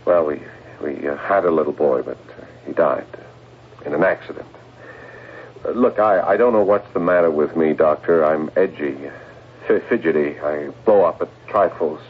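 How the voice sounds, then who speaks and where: A man's voice: very deep voice, normal speed, slightly rough, heavily acted. An actor playing an edgy, evasive stockbroker in his thirties in a radio drama, hesitant and defensive. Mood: uneasy, hesitant. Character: edgy, evasive 37-year-old husband and stockbroker. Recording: muffled, noisy old radio broadcast.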